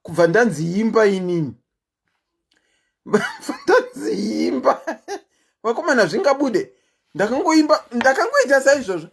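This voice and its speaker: A woman with a Zimbabwean accent and a deep voice, hosting a casual livestream chat and telling stories in a chatty, animated way. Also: unhurried, creaky, long pauses, slightly rough. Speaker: host.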